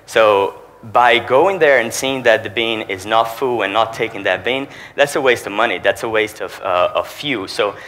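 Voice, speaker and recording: A man's voice: on the low side, moderate pace, hardly any pauses, fairly bright tone, slight background noise. A man who speaks Portuguese as his first language, with a Portuguese accent, giving a conference presentation as a sales manager and explaining with rhetorical questions to the audience.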